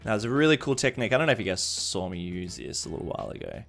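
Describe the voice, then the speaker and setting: A man's voice: fairly low pitch, lively intonation, very fast, vocal fry, a bit bright. Easygoing man live-streaming over music. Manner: easygoing, thinking aloud. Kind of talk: casual game-stream commentary, chatting with viewers. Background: background music.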